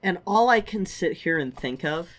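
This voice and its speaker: A woman's voice: lowish voice, animated, normal speed. A woman co-hosting a podcast in casual chat, chipping in with short reactions and joking quips. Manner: joking, interjecting. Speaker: podcast co-host.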